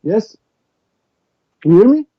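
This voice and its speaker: A man with a fairly high voice argues over a call, halting and hesitant. The line sounds dry and dull, with no room around it. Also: very animated, husky, no room sound. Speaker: caller. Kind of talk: phoned-in debate.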